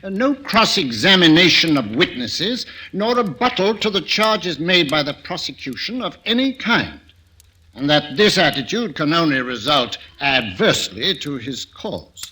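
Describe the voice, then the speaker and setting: A man's voice: a little high, very animated, measured pace, sharp tone, husky, full character voice. An actor playing a stern, pompous male judge who hesitates between formal pronouncements, in a 1940s radio drama.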